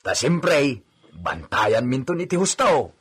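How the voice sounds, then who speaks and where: A man acting an eager, deferential villager serving a rich landowner in an Ilocano comedy radio drama, with an Ilocano accent and an animated, fawning manner. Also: medium pitch, slow, slightly rough, exaggerated voice. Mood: eager, scheming.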